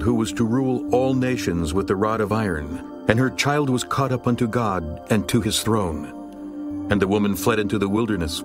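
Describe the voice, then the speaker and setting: A man narrating a dramatized scripture reading in a steady, measured way. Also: lowish voice. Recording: narration over background music.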